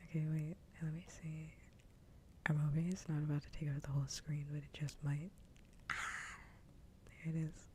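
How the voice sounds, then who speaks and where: A woman whispering softly and casually close to the mic as she hosts an ASMR livestream. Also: deep voice, little pitch movement, normal speed, pauses often, slight background noise. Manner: soft-voiced, mostly whispering.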